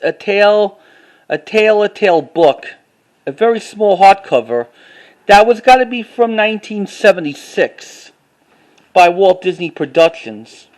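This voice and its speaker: A man with a high-pitched voice talks casually as he shows off a collection. He reads titles out and speaks in a halting way, often restarting or repeating a phrase. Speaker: collector showing finds to camera. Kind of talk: casual unscripted show-and-tell narration.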